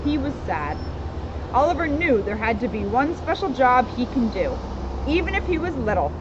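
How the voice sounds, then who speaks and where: A woman's voice: high voice, very animated, normal speed. A woman reading a children's storybook aloud with casual asides, recorded outdoors. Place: outdoors in a town street. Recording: dull outdoor recording with loud street noise.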